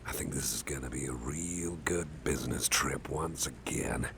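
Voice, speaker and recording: A man playing a caring, affable boss in an ASMR roleplay, talking softly in a gentle, hesitant, chatty way with a gravelly voice. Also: normal speed. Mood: friendly, chatty. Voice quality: deep, gravelly, breathy at times. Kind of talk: ASMR roleplay, soft close personal talk. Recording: faint background noise.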